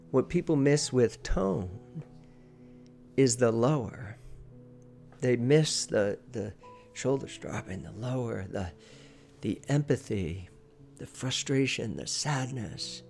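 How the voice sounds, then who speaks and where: A man's voice: mid-range voice, gravelly, a little mellow. Male presentation coach, emphatic and instructive. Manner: emphatic, repeats key phrases for stress. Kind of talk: informal instructional talk.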